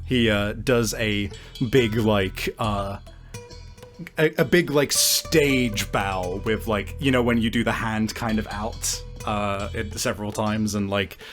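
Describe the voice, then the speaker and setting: A man improvising narration as a tabletop game master in a casual, halting, descriptive way. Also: on the low side, steady tone, a bit bright, faint music behind.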